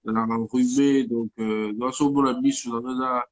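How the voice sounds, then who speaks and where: A man's voice: mid-range voice, steady tone, normal speed. A man speaking French with a Congolese accent, talking casually as an interview guest while he has a head cold, rambling and mixing in Lingala words. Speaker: guest musician.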